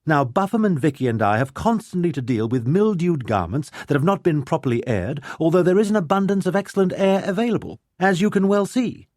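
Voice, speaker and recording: A man narrating a story, putting on the voice of a forceful, sharp-tongued young noblewoman who lectures crisply. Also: on the high side, expressive, brisk, hardly any pauses, no room sound, clean studio sound, voice pushed up, natural voice.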